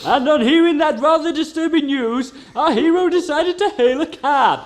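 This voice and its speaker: A man's voice: very high-pitched, normal speed. A man delivering scripted comic narration in a mock-serious storytelling manner. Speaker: narrator of a comedy show.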